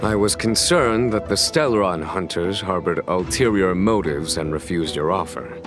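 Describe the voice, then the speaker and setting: An actor playing a composed fantasy general in a game, speaking in measured, formal, eloquent phrases. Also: male voice, deep voice, lively intonation. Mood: courteous. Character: composed, stately male general of a fantasy realm. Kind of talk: dramatic scripted game dialogue. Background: background music.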